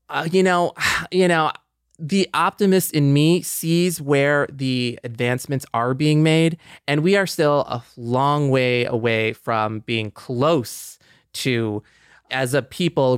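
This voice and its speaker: A man's voice: mid-range voice, clean tone, no room sound, studio quality. A man in his forties co-hosting a podcast, introducing and talking reflectively. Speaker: podcast co-host.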